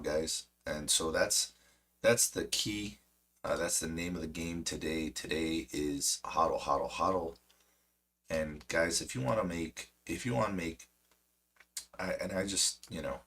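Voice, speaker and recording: A man hosting a stock trading stream, hoarse and losing his voice, chatty and self-mocking with a cracking voice. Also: rumbling low, bright, forceful tone. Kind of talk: casual market commentary.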